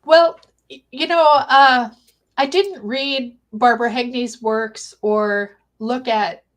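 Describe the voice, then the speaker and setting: A woman speaking as a guest researcher, giving conversational interview answers in a thoughtful, halting way. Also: a little high, fairly even intonation.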